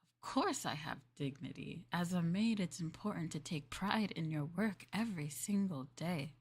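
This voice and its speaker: A woman's voice: lowish voice, moderate pace, husky. A woman streamer playing a maid in a roleplay, chatting with viewers in a playful, teasing and politely formal way.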